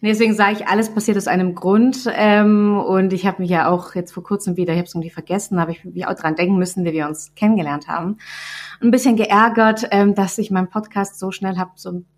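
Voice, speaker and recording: A woman's voice: medium pitch, fairly even intonation, fast talker. A woman from southern Germany with a Swabian accent, talking casually as a podcast guest. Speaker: podcast guest, company founder. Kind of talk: casual conversational anecdotes.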